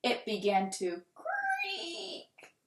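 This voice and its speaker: Lady doing a slow expressive kids story read-aloud, lots of emphasis, echoey room. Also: on the high side. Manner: deliberate, dramatic emphasis with character voices. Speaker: children's storybook reader. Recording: roomy, echoing room sound.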